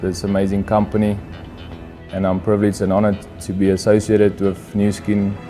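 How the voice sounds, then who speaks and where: A man's voice: lowish voice, monotone, normal speed, warm tone. A man, a rugby player, giving an earnest testimonial in a promotional video. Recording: promo video over music.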